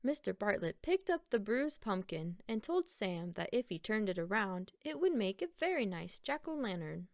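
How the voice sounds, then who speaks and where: A woman narrating a children's picture book aloud in a deliberate, expressive storytelling manner. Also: medium pitch, no echo, studio quality.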